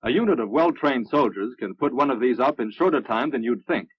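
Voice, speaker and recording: An American man reading scripted narration for a military instructional film in a measured, instructive manner. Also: medium pitch, animated, slightly rough. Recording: muffled, dry vintage film soundtrack.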